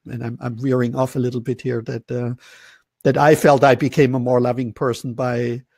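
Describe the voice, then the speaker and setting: A man's voice: mid-range voice, level tone, normal speed, darkish tone, no room sound, studio quality. A man from Germany hosting a webinar over a video call, talking casually in rambling, self-interrupting sentences. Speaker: host.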